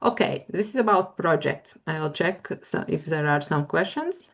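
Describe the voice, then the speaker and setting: A woman's voice: deep voice, animated, normal speed, highs cut off. A woman with a Latvian accent giving a webinar talk as a university lecturer, hesitant and full of fillers as she searches for words. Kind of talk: webinar presentation, screen-share demo.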